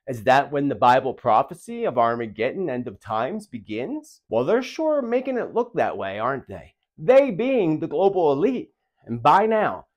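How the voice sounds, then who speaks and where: A man's voice: fairly high pitch, a little mellow. A man hosting his own channel, delivering dramatic prophecy commentary in an emphatic, theatrical way full of rhetorical questions. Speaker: channel host, self-described spiritual medium.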